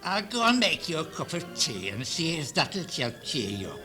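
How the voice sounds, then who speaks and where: Man doing a scatty landlady, high and swooping. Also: very animated, normal speed, bright, forceful tone. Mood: apologetic, consoling. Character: scatty, apologetic, chirpy landlady. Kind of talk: amateur comedy radio play acting. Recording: 1970s hospital radio recording, music behind.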